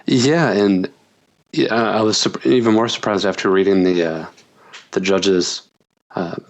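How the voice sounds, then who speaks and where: A man, a journalist and author, answering interview questions in a measured, thoughtful way. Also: lowish voice.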